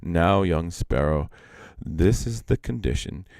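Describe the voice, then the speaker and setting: A man voicing a stern sparrow magician from a folk tale, slowly instructing a pupil. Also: sits low, expressive, vocal fry, darkish tone, no room sound, clean studio sound, exaggerated voice.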